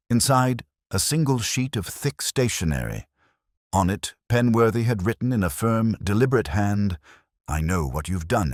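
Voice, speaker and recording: A man narrating a scripted mystery story in a deliberate, dramatic, literary manner. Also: low voice, dry recording, studio quality. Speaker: audiobook narrator.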